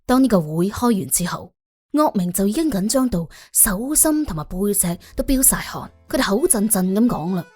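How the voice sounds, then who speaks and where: A woman narrating a suspense audiobook in an expressive, colloquial storytelling style. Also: medium pitch, moderate pace, breathy edge, no echo, clean studio sound. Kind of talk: scripted suspense storytelling in Cantonese. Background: music under the voice.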